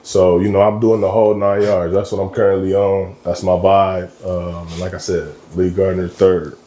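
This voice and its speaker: A very low, dark-voiced man in his thirties from Michigan chats easily as a podcast guest. He talks in a relaxed, slangy way, dropping frequent ums. The sound bounces around an echoey room. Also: moderate pace, a little hiss or hum. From Michigan, USA. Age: 30s. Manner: laid-back, slangy, lots of ums. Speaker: guest, college basketball coach. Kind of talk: casual interview chat.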